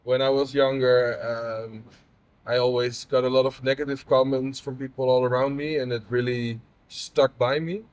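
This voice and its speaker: Man, 30s, from the Netherlands, halting, open, personal conversation. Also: mid-range voice, level tone, clean tone, no room sound, rough recording. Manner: halting, many fillers and restarts. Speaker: patient advocate interviewer.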